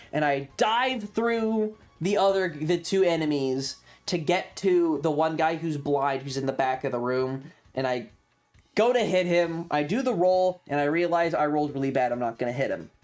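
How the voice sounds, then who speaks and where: Emphatic streamer storytelling over music. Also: medium pitch. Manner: emphatic, repeats phrases for drama; casual, swearing. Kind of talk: animated storytelling to a livestream chat about a tabletop game.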